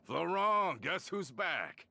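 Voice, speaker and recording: An actor playing a hearty, talkative male gang member fresh out of prison in a video game, brash and expressive. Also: fairly high pitch, slow pace, gravelly, full character voice. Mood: jovial, boastful. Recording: music playing under the voice.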